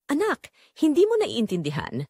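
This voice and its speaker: A woman's voice: sits high, wildly swooping pitch, moderate pace, a little mellow, breathy edge, no room sound, clean studio sound, voice pushed up, heavily acted. A woman with a Filipino accent voicing a bitter, pleading mother in an audio drama.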